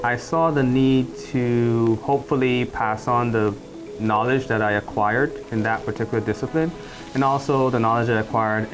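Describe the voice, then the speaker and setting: A middle-aged man with a Barbadian accent, a professor and department chair speaking in a faculty profile in a measured, explanatory way. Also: medium pitch, poor audio. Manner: measured, explanatory, uses "you know" and "and whatnot".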